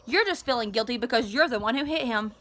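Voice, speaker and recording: A woman's voice: fairly high pitch, animated, fast, sharp tone. A woman voicing a sneering, superior eighth-grade boy who mocks others, in a storybook read-aloud. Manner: sneering, superior put-down delivery.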